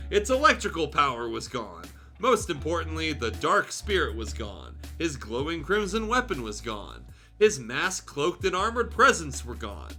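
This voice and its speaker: Man, streamer, very bright forceful voice, highish pitch, theatrical story reading, irreverent, music behind. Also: husky. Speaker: streamer reading fan fiction aloud. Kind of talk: dramatic story reading with joking asides.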